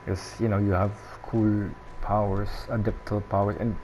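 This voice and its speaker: A woman's voice: extremely low pitch, little pitch movement, normal speed, mellow, slight background noise, poor audio. A woman giving casual, laid-back gameplay commentary in short, murmured reactions. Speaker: gamer commentator. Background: game music.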